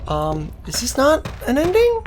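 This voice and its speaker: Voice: high-pitched, wildly swooping pitch, a little slow, background noise. A game commentator talking casually and off the cuff, in a sweary, sardonic, offhand manner. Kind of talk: casual, improvised gameplay commentary.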